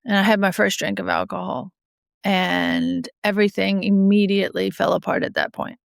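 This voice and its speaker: A woman's voice: mid-range voice, flat delivery, normal speed, creaky, no echo, studio quality. A middle-aged woman raised in Australia, a judge telling her recovery story candidly in an interview, a little sniffly from a cold, with many restarts. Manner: frank, often laughs softly, many fillers and restarts. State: getting over a cold, a bit sniffly. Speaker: judge, podcast guest.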